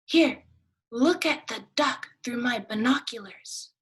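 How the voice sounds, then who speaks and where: A woman voicing an excited, insistent storybook character arguing a point in an emphatic, exclamatory way. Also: fairly high pitch, slow, breathy edge, voice pushed up, exaggerated voice. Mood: eager, coaxing. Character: excited, insistent arguer who sees a duck.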